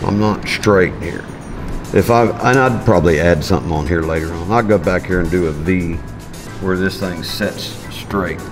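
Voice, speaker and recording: Deep-voiced folksy welding teacher. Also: male voice, moderate pace. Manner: folksy, plainspoken, thinking aloud while working. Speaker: welding instructor. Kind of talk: hands-on shop demonstration. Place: large metal workshop. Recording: music bed under the voice.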